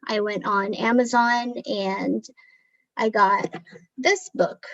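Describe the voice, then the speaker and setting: A woman who grew up speaking Tagalog talks slowly and easily, thinking aloud as she hosts a storytime. Her voice sits at a middling pitch. It comes through slightly muffled, like lo-fi audio from a home video call. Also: steady tone. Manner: unhurried, conversational, thinking aloud. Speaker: storytime host. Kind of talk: casual virtual storytime talk.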